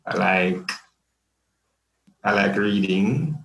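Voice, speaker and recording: Guest: young Jamaican man, laid-back and joking, low gravelly voice in an echoey room. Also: extremely slow, fairly bright tone, slight background noise. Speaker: podcast guest. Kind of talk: casual interview chat.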